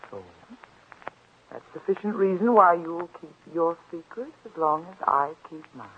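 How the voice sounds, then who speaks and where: A woman's voice: fairly low pitch, lively intonation, measured pace, highs cut off, slight background noise. A woman playing a brazen, coolly confident young blackmailer in a 1940s radio drama, with an arch, insinuating delivery. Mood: smug, insinuating. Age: young adult. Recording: band-limited old radio recording.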